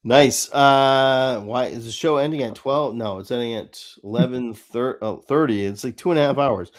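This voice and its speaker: A man's voice: medium pitch, normal speed. A man hosting a live stream, talking in a casual, rambling and opinionated way about fan interests.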